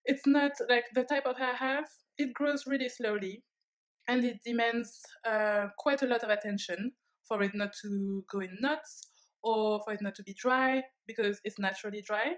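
A woman's voice: fairly high pitch, steady tone, normal speed, low-quality recording. Young French woman, first language French, speaking English. Candid, musing vlog talk to camera, seated at home. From France. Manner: candid, reflective, with frequent fillers. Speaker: vlogger. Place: living room, seated on a leather sofa.

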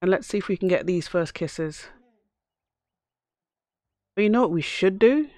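Hoarse woman narrating her gameplay. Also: on the low side, expressive, unhurried, darkish tone, no echo, studio quality. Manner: chatty, talks to the game characters. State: hoarse from a cough. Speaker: let's play host. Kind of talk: casual gameplay commentary.

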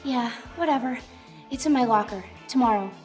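A woman's voice: fairly high pitch, normal speed, darkish tone, in a large hall, soft background music, close to an everyday voice. A woman playing a brainy, nervous, sardonic teenage girl inventor in a radio play, wordy and wry. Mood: awkward. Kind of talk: radio play monologue and dialogue.

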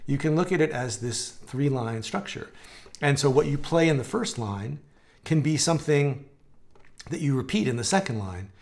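A man in his forties teaching a guitar lesson in a relaxed, conversational way, his voice a little gravelly from a dry throat. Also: medium pitch. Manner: relaxed, conversational, explaining with hand gestures and demonstrating. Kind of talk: live-streamed guitar lesson. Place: home music room with guitars on the walls.

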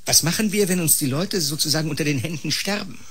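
A man's voice: fairly high pitch, fast talker, a bit bright, slightly rough. An actor playing a mocking, needling male clinic colleague in a science-fiction radio drama, sarcastic and goading.

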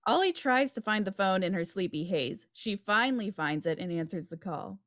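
A woman's voice: mid-range voice, lively intonation, moderate pace, a bit bright. A woman reading scripted stage directions at a table read over a video call. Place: indoor room, video call. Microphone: video call microphone.

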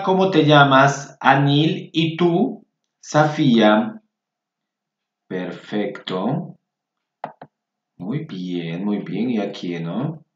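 A man teaching Spanish, reading workbook exercises aloud and patiently repeating phrases for learners. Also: mid-range voice. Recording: roomy, dull lo-fi sound.

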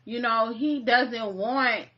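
A woman's voice: a little high, slow. A woman in her thirties co-hosting a casual live chat from a home kitchen, talking frankly and plainly. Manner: frank, matter-of-fact, uses "you know". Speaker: co-host. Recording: muffled lo-fi webcam audio.

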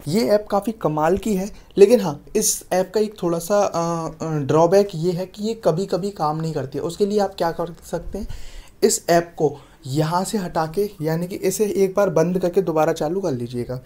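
A man's voice: sits high, normal speed, dark tone. A man giving an app tutorial voice-over as a YouTube host, often addressing his viewers as friends.

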